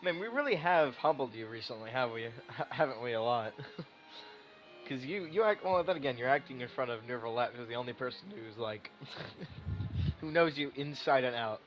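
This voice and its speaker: A man streaming a game in a medium-pitched voice. He comments casually, wandering through his thoughts with teasing and swearing. Faint music from the game plays behind him. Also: lively intonation, normal speed, fairly bright tone, rough recording.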